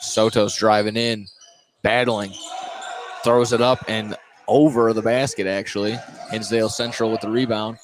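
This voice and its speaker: Male play-by-play announcer, lowish voice, halting live basketball calls with self-corrections, faint gym noise behind. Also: level tone. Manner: halting, repeats and corrects himself mid-call. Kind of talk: live basketball radio commentary. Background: gym ambience with bouncing basketballs.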